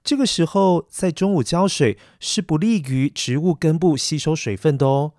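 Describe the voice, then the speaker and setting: A man's voice: fairly high pitch, moderate pace, darkish tone, no room sound, studio quality. A man hosting and narrating a children's story, addressing young listeners in a coaxing, explanatory way. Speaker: children's story podcast host.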